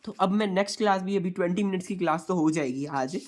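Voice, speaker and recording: A young man speaks hoarsely through a sore throat as he tutors online. He explains each point in a repetitive, chatty way and keeps calling his viewers friends. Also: sits high, quick pace. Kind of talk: exam revision lecture.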